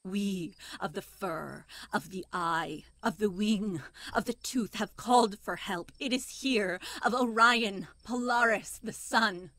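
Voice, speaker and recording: Slow, solemn animal leader played by a woman. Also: mid-range voice, pushed and bright, slight background noise. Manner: slow, grave, incantatory. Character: solemn animal leader rallying fellow creatures. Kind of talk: dramatic horror monologue.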